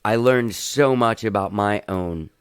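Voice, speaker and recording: A man's voice: on the low side, a little slow, smooth, no echo, clean studio sound. A man hosting a podcast in casual chat, talking loosely with plenty of filler words. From Cuban family. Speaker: podcast host.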